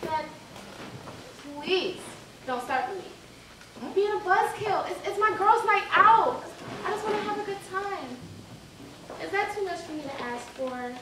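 A woman's voice: very high-pitched, lively intonation, unhurried, long pauses. A young woman acting on stage as a sassy, self-assured daughter heading out for a night. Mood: pleading. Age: young adult. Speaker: stage actor. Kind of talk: live stage play acting, casual urban dialogue. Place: indoor theater stage. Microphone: far camera. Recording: echoey theater, distant mic, audience noise.

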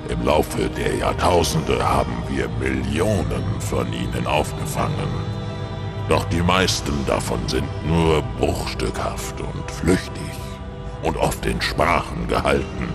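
A cryptic alien priest with an extremely deep, gravelly voice. He speaks in slow, ceremonious phrases for a sci-fi radio play, with music behind him. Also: expressive, heavily acted. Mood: solemn. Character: solemn, cryptic male alien priest, measured and reverent. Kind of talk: science fiction audio drama acting.

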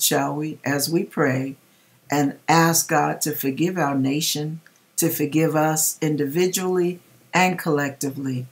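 A middle-aged woman reading aloud and commenting in a deliberate, dramatic way with pauses, recorded in a home kitchen. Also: sits low. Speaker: vlogger reading a viewer's dream and commenting. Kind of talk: expressive read-aloud with religious commentary.

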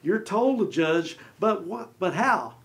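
A fairly high-voiced man in his forties, with a gravelly edge to his voice, talks about scripture from a small studio set. He gestures as he speaks and now and then acts out short quotes in little voices. His delivery is lively and conversational. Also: slow. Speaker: co-host. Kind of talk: animated Bible discussion.

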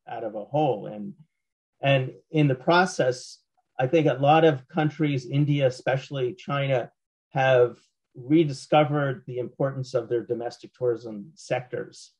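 Hoarse older man on a video call. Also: medium pitch, darkish tone, low-quality recording. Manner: measured, frequent restarts and repeated words. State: slightly hoarse, losing his voice. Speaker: panelist. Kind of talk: panel discussion answer. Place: small home office at night. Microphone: video call, laptop camera.

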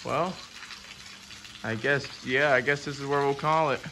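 A man's voice: medium pitch, steady tone, a little slow, sharp tone, a little hiss or hum, poor audio. A young man with a West Virginian accent, narrating a casual hiking vlog outdoors on a wooded trail, easygoing and chatty. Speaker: vlogger.

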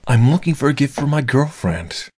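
A man's voice: mid-range voice, expressive, moderate pace, dark tone, a little hiss or hum, close to an everyday voice. An actor voicing a reserved, slightly fussy young male customer in an anime-style visual novel, speaking with consideration. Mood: thoughtful, hesitant. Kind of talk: scripted anime-style game dialogue.

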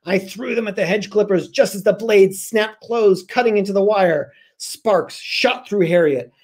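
An American man in his forties, a children's author with an animated, theatrical way of talking, on a video call from home. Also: high-pitched. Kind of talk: dramatic storybook reading and casual chat. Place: small room at home. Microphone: laptop mic on video call.

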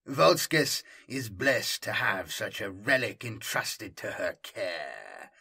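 A man's voice: fairly low pitch, sing-song, takes it slowly, gravelly, pushed and bright, breathy edge, exaggerated voice. A man voicing a proud, guarded planetary governor with a stately, formal manner in an audiobook. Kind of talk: audiobook character dialogue.

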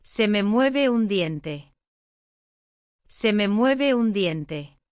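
A woman reads short lesson phrases, saying each one twice with careful enunciation. Her pace is very unhurried and her voice is smooth and crisp. It is recorded dry on a close mic. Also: mid-range voice, expressive, no echo. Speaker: language lesson reader. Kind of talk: slow repeated phrase reading.

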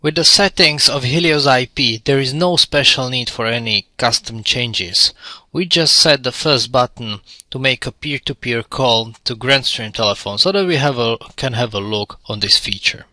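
A man's voice: mid-range voice, normal speed, sharp tone, no room sound. A man narrating an instructional product demo in a friendly, step-by-step explanatory way. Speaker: tutorial presenter.

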